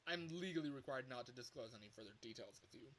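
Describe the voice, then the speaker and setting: A man's voice: medium pitch, animated, fast, fairly bright tone, lightly acted. A man playing a nervy pizzeria caller in a horror game, hesitant and understated as he leaves ominous warnings. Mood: uneasy, ominous. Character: nervy male pizzeria phone caller warning night guard. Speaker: phone caller in a horror fangame.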